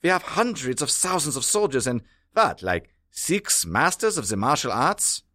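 Pompous German villain, swooping pitch. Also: male voice, big pitch swings, moderate pace, bright, forceful tone, dry recording, studio quality. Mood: dry, exasperated. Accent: mock German. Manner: pompous, sarcastic, theatrical. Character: pompous, impatient German military villain, childishly vain, secretly soft. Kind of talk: comedic villain voice acting.

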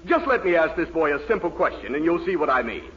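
High-pitched, sarcastic college professor. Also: male voice, sing-song, quick pace, a bit bright. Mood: scornful, incredulous. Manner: dry, sarcastic, incredulous. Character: sardonic, scornful, exasperated male college professor. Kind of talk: 1940s radio comedy acting. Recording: muffled, noisy 1940s radio with audience.

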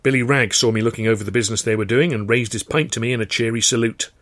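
A man narrates a novel in the first person, as a scripted audiobook read by its author. His voice is medium in pitch and recorded close and very dry, with no room sound. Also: fast talker, a bit bright, no echo.

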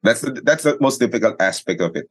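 A man's voice: mid-range voice, expressive, fast talker, slightly rough. A man with a Filipino accent chatting casually and explaining things emphatically, as a sports announcer being interviewed. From Philippines. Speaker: sports announcer and radio commentator, interview guest. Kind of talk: casual podcast chat, code-switching.

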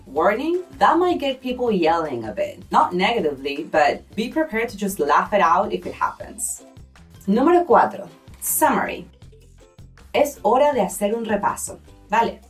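Slow, careful woman teaching a lesson. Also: mid-range voice, distant mic. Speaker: language teacher. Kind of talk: scripted lesson narration.

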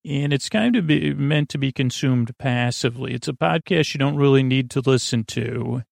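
Male podcast host, creaky voice, close dry sound; whimsical, halting bedtime rambler who wanders off mid-sentence. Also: medium pitch, dry recording. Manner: meandering, halting, trailing off mid-thought; goofy, whimsical. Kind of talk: rambling sleep podcast monologue.

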